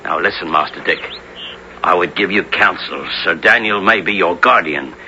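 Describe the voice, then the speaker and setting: An actor playing a gruff, wary man-at-arms who gives blunt, authoritative counsel in archaic speech in a 1970s radio drama. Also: low-pitched, moderate pace, pushed and bright. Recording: dull, slightly noisy old radio broadcast.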